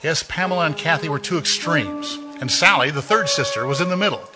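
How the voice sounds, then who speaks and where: An actor narrating as a mysterious man who walks by night, in slow, ominous, measured 1940s radio drama style. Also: on the high side, expressive, natural voice. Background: music.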